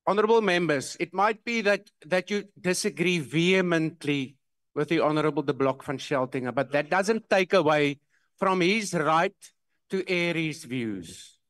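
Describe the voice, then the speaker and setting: Male presiding officer, high, dry voice, authoritative, keeps order. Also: normal speed, fairly bright tone, no room sound. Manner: firm, authoritative, repeats rulings. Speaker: presiding officer chairing a session. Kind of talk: chairing a parliamentary debate. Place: large parliamentary chamber, indoors. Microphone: desk microphone.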